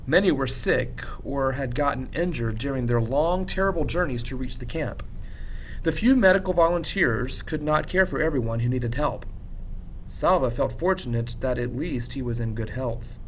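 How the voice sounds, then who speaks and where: A man reads a story aloud like a teacher, in expressive narration with measured, dramatic pauses and emphasis. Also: mid-range voice, a little hiss or hum, poor audio. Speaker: teacher reading a novel aloud. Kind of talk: expressive audiobook-style narration.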